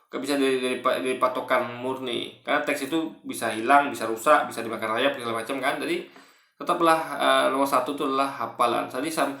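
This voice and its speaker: A man giving casual spoken commentary in a halting, repetitive way, often correcting himself. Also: medium pitch, steady tone, creaky, pushed and bright. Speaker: video commentator. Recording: echoey room.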